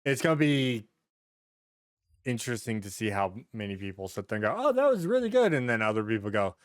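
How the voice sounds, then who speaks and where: A man with a medium-pitched voice hosts a casual podcast. He rambles through stories with excitable energy and loose, sweary phrasing. The sound is dry, with very little room in it. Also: a little fast, no room sound. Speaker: podcast host.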